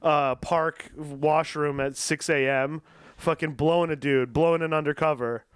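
High-voiced man in rambling profane chat. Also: fairly even intonation, unhurried, smooth, no room sound. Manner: rambling, swears a lot, acts out quoted people.

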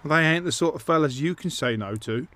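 An actor playing a dim, self-interested, stubborn bloke, obtuse and colloquial, in a dark comedy audio drama. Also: male voice, fairly high pitch, a little fast. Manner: obtuse, literal-minded, colloquial.

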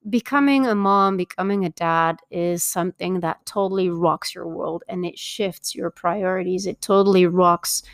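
A middle-aged woman from Belgium hosting her own podcast as a parenting coach, talking earnestly and upbeat with many filler pauses. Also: lowish voice, dry recording, studio quality. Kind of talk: solo podcast introduction.

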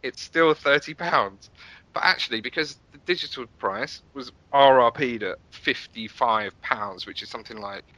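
A man's voice: medium pitch, moderate pace, bright, forceful tone, dry recording, low-quality recording. A British man chatting casually as a podcast guest, talking in a rambling, self-interrupting way. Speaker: podcast guest, web developer.

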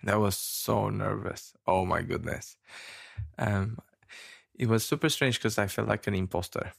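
Gravelly-voiced man, rough and low. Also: fairly even intonation, no room sound, studio quality. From Romania. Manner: halting, many fillers and restarts, laughs often. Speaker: podcast co-host. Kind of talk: casual chat.

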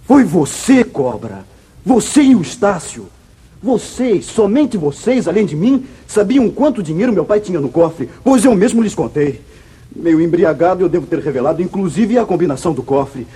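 Casting: wronged wayward son, Brazilian accent, very raspy, animated and earnest, 1950s radio melodrama. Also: male voice, sits high, normal speed, exaggerated voice. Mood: accusing. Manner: emotional, dramatic, earnest. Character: anguished, falsely accused young wayward son. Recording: muffled vintage radio drama recording.

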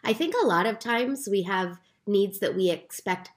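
A woman co-hosting a podcast in calm, explanatory casual chat. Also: medium pitch, normal speed. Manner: calm, explanatory, recaps steps. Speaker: podcast co-host.

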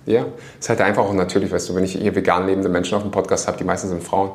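A man hosting a podcast in casual conversation, talking quickly with many restarts and laughing things off. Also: low-pitched, flat delivery. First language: Russian. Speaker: podcast host. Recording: echoey room.

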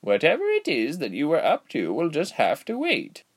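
A man voicing a cheerful, giggly elderly village healer woman in a storybook, with a slow, kindly teasing delivery. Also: a little high, huge pitch swings, breathy edge, voice pushed up, exaggerated voice. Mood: cheerful, gently chiding. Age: older. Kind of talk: storybook character voice.

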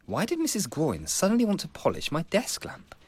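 Earnest young constable, lively and polite. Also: male voice, fairly high pitch, fairly quick, husky, exaggerated voice. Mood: anxious musing. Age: young adult. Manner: deferential, formal, precise. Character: earnest, polite young police constable narrating his own worries. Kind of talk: radio comedy drama, first-person narration.

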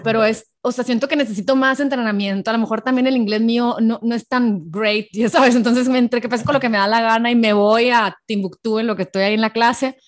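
Woman, higher voice, chatty interview host. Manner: chatty, quick fillers and restarts. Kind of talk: casual interview chat.

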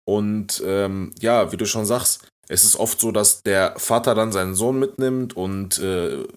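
A man co-hosting a casual podcast chat in a relaxed way, laughing easily and agreeing readily. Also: lowish voice, unhurried. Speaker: podcast co-host.

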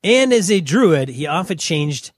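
A man's voice: a little high, very animated, measured pace. A man co-hosting a casual tabletop gaming podcast, telling stories in a playful, teasing way. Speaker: podcast co-host.

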